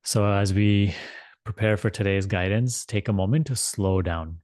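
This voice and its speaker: A man teaching a guided meditation, speaking in a deliberate, measured manner with long pauses and repeated phrases. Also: fairly low pitch, darkish tone, no echo, clean studio sound. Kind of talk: guided meditation instruction, spiritual talk.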